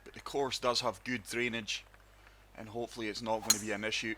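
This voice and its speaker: A man with a sore throat, hosting his gaming channel and narrating a golf game in calm, steady play-by-play. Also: lowish voice, fairly quick, background noise. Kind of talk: casual gameplay commentary.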